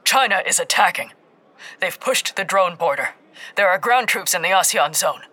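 A narrator voicing a male commander giving rapid, clipped orders. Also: mid-range voice, expressive, sharp tone. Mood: urgent.

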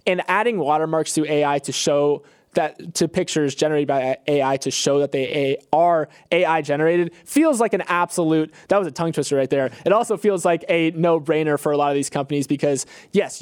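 A man's voice: fairly high pitch, brisk, darkish tone, clean tone, no room sound, clean studio sound. A man co-hosting a podcast, offering conversational commentary on business news. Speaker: podcast co-host.

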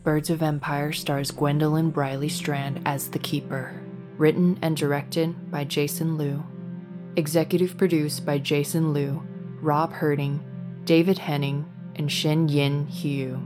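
A woman's voice: sits low, little pitch movement, unhurried. A woman reading scripted credits as an announcer in a formal, matter-of-fact manner. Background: prominent music.

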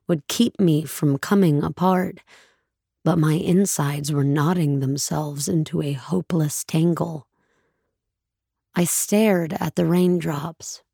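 An actress playing a numb, grieving woman narrating the end of her world in a somber, measured, husky voice for an audio drama. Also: sits low, mellow, dry recording, studio quality, full character voice. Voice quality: husky, gravelly. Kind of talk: dramatic first-person audio fiction narration.

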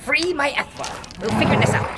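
A woman's voice: sits high, sing-song, moderate pace, very noisy. A woman playing a defensive, stubborn and impulsive rune caster in a fantasy audio drama. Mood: defiant.

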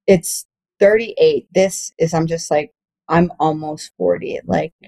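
A woman's voice: low voice, steady tone, takes it slowly, vocal fry, warm tone. A woman in her late thirties chatting casually and candidly, with a frank, profane, self-joking way of talking. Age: late 30s. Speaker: comedian and podcast talker.

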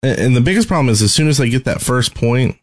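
A man's voice: medium pitch, expressive, fast. A man co-hosting a podcast, chatting casually about video game tactics. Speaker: podcast co-host.

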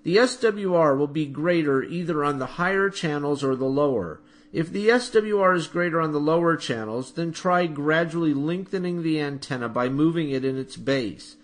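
A man with a slightly high-pitched voice delivers scripted explanatory narration. He is a podcast host who goes through things step by step in an instructional manner. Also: normal speed. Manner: instructional, methodical.

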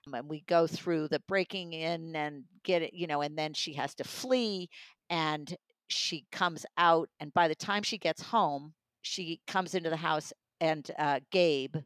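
A woman's voice: low voice, no echo. A woman talking enthusiastically and emphatically in a conversational book review. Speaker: audiobook reviewer.

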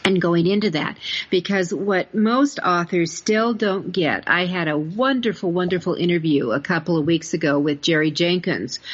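Low-pitched woman hosting, dull lo-fi sound. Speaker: podcast host. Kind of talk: conversational interview talk. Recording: dull, lo-fi audio.

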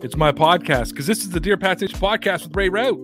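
A man hosting a sports podcast in casual chat, with energetic, rapid promo reading. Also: high-pitched, music in the background. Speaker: podcast host.

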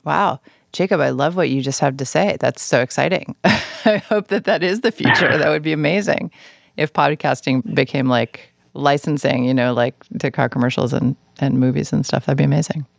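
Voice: mid-range voice, moderate pace, creaky, dry recording, studio quality. A podcast host who moves between interview chat and scripted show announcements.

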